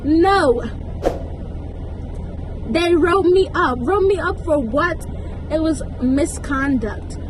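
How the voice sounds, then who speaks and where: High-voiced young woman, animated and indignant. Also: slow, pauses often, background noise. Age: young adult. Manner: animated, indignant, emphatic with slangy asides. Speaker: vlogger. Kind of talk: casual storytime, acting out conversations.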